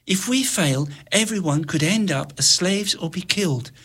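Brief: man putting on a scared, determined young girl, high and breathy, pleading whispers. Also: animated, moderate pace, pushed and bright, full character voice.

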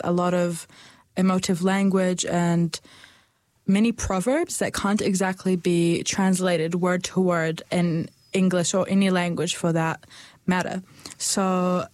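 A woman's voice: lowish voice, a little mellow, dry recording. Earnest young New woman. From New Zealand. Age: young adult. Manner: earnest, thoughtful, with pauses and small restarts. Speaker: speaker sharing heritage. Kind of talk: personal reflection.

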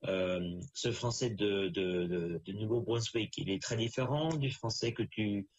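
Low-voiced middle-aged man hosting. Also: a little slow, muffled recording, slight background noise. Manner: repeats words, rambling, hesitant phrasing. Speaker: interviewer, show host. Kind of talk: casual, friendly interview.

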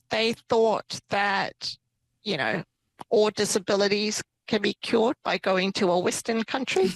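A woman's voice: mid-range voice, gravelly, fairly bright tone, dry recording, rough recording. A woman from Myanmar who moved to New Zealand, telling her story informally and light-heartedly as a podcast guest. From born in Myanmar, moved to New Zealand as a child. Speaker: podcast guest, property investor and entrepreneur. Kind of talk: casual personal storytelling in an interview.

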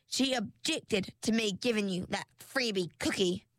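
A woman's voice: medium pitch, unhurried, a bit bright, no echo, studio quality, natural voice. A woman voicing a frazzled female sea-creature waitress who talks in a chatty, gossipy way.

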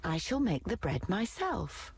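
Brief: a woman as a proud storybook hen, firm and prim, pitched up, slightly husky. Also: big pitch swings, unhurried, heavily acted. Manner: prim, self-reliant. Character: hardworking little red hen, proud and firm.

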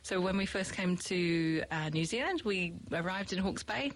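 A woman's voice: on the low side, lively intonation, normal speed. A middle-aged woman from the UK with a British accent talks easily about her teaching work. She fills her sentences with ums and laughs often. Her voice sits a little back from the microphone. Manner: chatty, with frequent laughs and ums. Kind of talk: podcast interview.

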